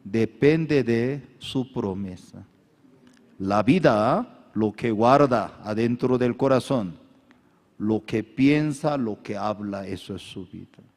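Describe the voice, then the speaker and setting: A man's voice: mid-range voice, slow pace, a little mellow. A male pastor preaching a sermon in simplified, non-native Spanish, calling on the congregation to repeat after him and raise their hands. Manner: preaching with call-and-response to the congregation. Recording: echoey hall.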